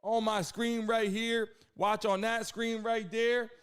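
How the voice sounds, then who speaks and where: A man hosting a live stream in hyped casual chat, excitable and repetitive as he calls out to his viewers. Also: high voice, takes it slowly, clear, resonant tone. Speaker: livestream host. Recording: loud music behind the voice.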